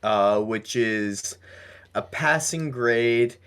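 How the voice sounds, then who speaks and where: A man co-hosting a podcast, discussing casually in a hesitant, halting way. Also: medium pitch, a bit bright, clean tone. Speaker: podcast co-host.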